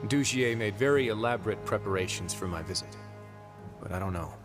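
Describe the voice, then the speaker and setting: An actor playing a composed, fair-minded duke and prison warden in a video game, measured and dryly wry. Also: male voice, on the low side, lively intonation, natural voice. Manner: measured, deliberate, dryly playful. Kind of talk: video game character dialogue. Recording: game music behind the voice.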